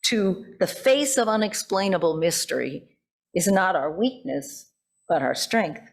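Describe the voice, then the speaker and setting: A woman pastor giving a sermon in deliberate, emphatic phrases, often posing rhetorical questions. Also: medium pitch.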